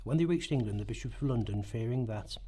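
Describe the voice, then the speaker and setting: A man's voice: medium pitch, lively intonation, fast talker, dark tone, breathy edge. A man reading scripted historical narration as the narrator of a radio play.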